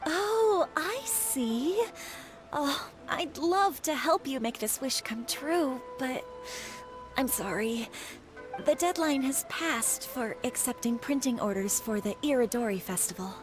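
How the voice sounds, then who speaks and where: A kindly woman clerk from a video game. She speaks in a high, polite and gently apologetic voice, with music clearly playing behind her. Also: lively intonation, slow pace. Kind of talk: English video game voice acting.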